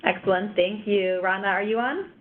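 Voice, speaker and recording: A woman's voice: mid-range voice, moderate pace, slight background noise. A woman moderating a webinar, calling on speakers with upbeat brief prompts. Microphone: conference call line. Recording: phone-line webinar audio.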